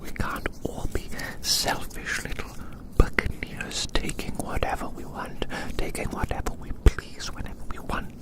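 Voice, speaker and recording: An ASMR performer whispering a rambling, theatrical roleplay monologue outdoors, softly repeating phrases in wordy language. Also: normal speed, few pauses. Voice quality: soft unvoiced whisper. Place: outdoors in a large open field.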